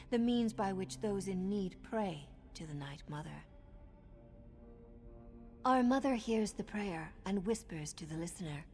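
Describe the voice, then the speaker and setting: An actress voicing a flirtatious, wicked noblewoman assassin in a video game, with a teasing, breathy manner. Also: lowish voice, a little mellow. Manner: teasing, coquettish, breathy asides. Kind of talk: video game character acting. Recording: background music under the voice.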